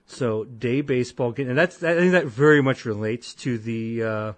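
A deep-voiced man co-hosting a podcast, telling a rambling story full of restarts and quoted voices. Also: normal speed, no echo. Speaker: podcast co-host. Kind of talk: casual podcast banter.

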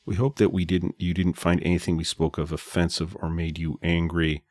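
A man's voice: low voice, level tone, fairly quick, a little mellow, dry recording. A man co-hosting a podcast, chatting casually and addressing listeners directly. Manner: friendly, wraps up the show addressing listeners. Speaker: podcast co-host.

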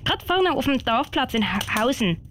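A woman acts as an eager ten-year-old girl scout, plucky and brave. The voice is fairly high, with a Swiss German accent, in a homemade radio play with faint noise and clicks behind it. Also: sing-song, normal speed, a bit bright. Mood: proud, eager. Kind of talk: amateur radio play acting.

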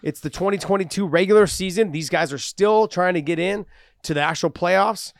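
Guy talking fight stuff on a podcast, kinda high voice, dry close sound, goes on and on. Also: a little fast, no room sound. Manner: talkative, animated, explains at length. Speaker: podcast co-host. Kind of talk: casual sports chat.

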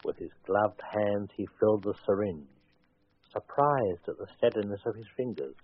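Deep, wry man narrating vintage radio suspense. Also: lively intonation. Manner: wry, deliberate. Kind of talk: dramatic scripted 1940s radio suspense narration. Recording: dull 1940s radio recording, faint music.

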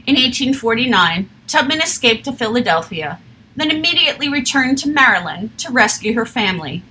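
A woman reading a history text aloud in a steady, measured way, with an occasional slip. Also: a little high, low-quality recording.

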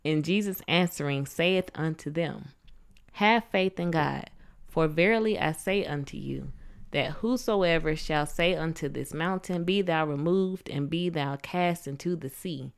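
A woman with a low, warm-toned speaking voice co-hosts a relaxed faith podcast. She banters and laughs easily, then reads Bible passages aloud. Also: a little slow, clean tone. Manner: playful, laughs often, reads scripture aloud. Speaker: podcast co-host. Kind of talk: casual faith podcast chat and scripture reading.

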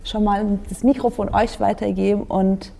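A woman's voice: mid-range voice, fairly even intonation, normal speed, gravelly, dark tone. A woman hosting a panel discussion, upbeat and bubbly, handing the floor to her guests. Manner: upbeat, bubbly, often laughing. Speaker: host. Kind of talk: casual panel moderation.

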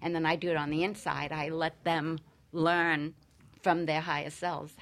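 A woman's voice: sits low, level tone, normal speed, a bit bright. A woman speaking as an expert guest in casual show conversation, plain-spoken and reassuring.

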